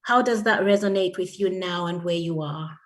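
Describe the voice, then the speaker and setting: A woman's voice: lowish voice, normal speed, distant mic. A woman hosting a festival event on stage, introducing and questioning a poet in an upbeat, effusive way. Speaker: event host.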